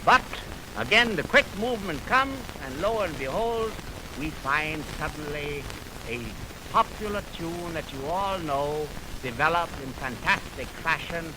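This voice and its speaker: Higher-voiced man, noisy 1920s radio broadcast. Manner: formal, declamatory, deliberate phrasing. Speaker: radio music presenter. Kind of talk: 1920s radio lecture introducing orchestral music. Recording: noisy, crackling 1929 radio aircheck on film. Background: steady hiss and crackle of old recording.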